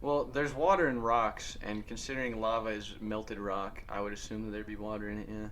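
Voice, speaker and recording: Loud, opinionated man on a podcast. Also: on the low side, fairly even intonation, fairly quick, a little hiss or hum. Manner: loud, opinionated, lectures with theories. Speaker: co-host. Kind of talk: casual podcast chat.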